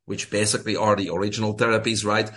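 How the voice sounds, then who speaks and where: A middle-aged man from Belgium with a Belgian accent, a guest telling his story in a relaxed way with fillers. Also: fairly low pitch, quick pace. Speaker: guest, health coach. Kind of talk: casual interview.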